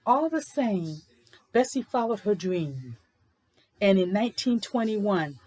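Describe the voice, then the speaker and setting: A woman presenting and reading children's books aloud in an informal, explanatory way with deliberate, emphatic phrasing. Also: on the low side, darkish tone, slightly rough, low-quality recording. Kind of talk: informal book talk and storybook read-aloud.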